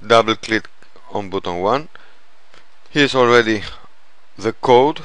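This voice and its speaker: A man narrating an informal software tutorial in a halting, step-by-step way. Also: lowish voice, no room sound, rough recording. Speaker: programming tutorial presenter.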